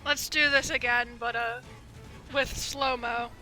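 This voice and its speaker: A woman live-streaming a game with casual, excitable, gushing commentary. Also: fairly high pitch, measured pace, rough recording. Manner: excitable, gushing fan talk. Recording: game music behind the voice. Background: video game music.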